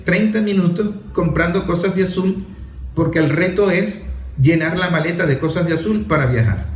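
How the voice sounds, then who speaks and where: A man's voice: a little high, echoey room. A father in his forties speaking earnestly and emphatically, repeating phrases and listing examples for effect. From Venezuela. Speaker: father and author speaking on a talk show. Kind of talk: earnest conversational commentary.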